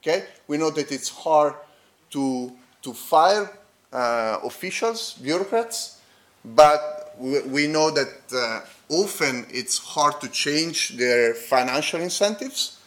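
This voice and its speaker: A man with a non-native accent presenting an academic paper at a conference. He speaks haltingly, with fillers and restarts, and checks in with the audience using tags like "okay?". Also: on the high side, lively intonation, fairly bright tone. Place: conference hall.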